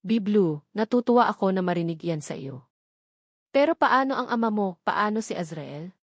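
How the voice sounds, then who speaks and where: A woman's voice: mid-range voice, animated, normal speed, dry recording. Lady reader doing nervous guilty young filipina in a secret romance, audiobook, dry sound. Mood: worried. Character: anxious, guilt-ridden young woman in secret affair.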